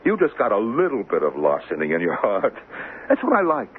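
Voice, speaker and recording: An actor in a 1970s radio drama playing the Devil as a boastful, sly, theatrical hustler. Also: male voice, lowish voice, wildly swooping pitch, fairly quick. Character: the Devil as a smug, grandiose, wheedling male hustler. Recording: tinny, noisy 1970s radio broadcast.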